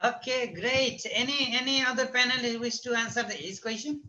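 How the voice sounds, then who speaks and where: A man in his thirties with a Nepali accent moderates an online panel as a teacher, speaking haltingly and repeating phrases for emphasis. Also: extremely high pitch, steady tone, sharp tone, dull audio. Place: indoors, plain-walled room. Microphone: computer mic on a video call. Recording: noisy video-call audio with clicks.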